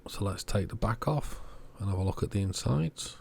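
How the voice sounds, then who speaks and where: A man narrating a hands-on repair video in short, measured, understated phrases. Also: fairly low pitch, expressive, creaky, a little hiss or hum. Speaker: host of an electronics repair channel.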